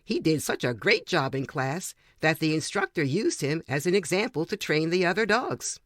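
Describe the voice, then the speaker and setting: A man's voice: fairly high pitch, expressive, normal speed, fairly bright tone, no echo, studio quality. A man reading a humorous personal story aloud as scripted narration. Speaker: reader of short stories for a podcast.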